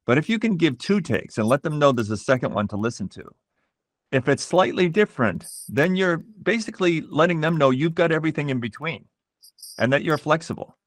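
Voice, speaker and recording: A middle-aged man being interviewed as a voice actor, explaining things in a measured, reflective way and pausing to find his words. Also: a little high, expressive, no room sound, studio quality.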